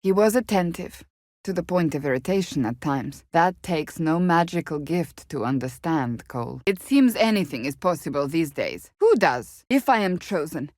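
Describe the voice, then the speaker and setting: A woman playing a stern, devout warrior in a fantasy video game, forthright and formal in her measured, earnest speech. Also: sits low, sing-song, darkish tone, dry recording, clean studio sound. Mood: solemn, measured.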